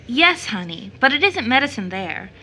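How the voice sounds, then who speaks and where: A motherly woman as an actress plays her in an audio drama, tender and wistful. Her voice is rather high and very bright. She soothes and speaks affectionately, like a bedtime storyteller. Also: sing-song, moderate pace, slight background noise, rough recording. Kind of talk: stage drama audio acting.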